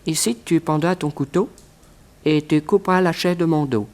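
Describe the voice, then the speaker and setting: Methodical male villain played by an actor, deliberate, terse, a little high-pitched, 1960s radio play. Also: a little mellow, natural voice. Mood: cold, secretive. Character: cold, methodical male conspirator plotting a robbery.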